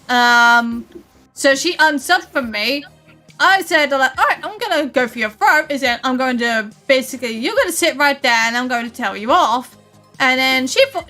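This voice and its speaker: A woman's voice: high-pitched, bright, forceful tone. A woman hosting a stream, talking bluntly and with plenty of swearing in casual chat. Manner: blunt, profane, ranting.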